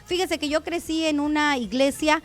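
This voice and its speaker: A woman's voice: high-pitched, normal speed, faint music behind. A woman pastor giving a conversational radio sermon, often addressing listeners as brother.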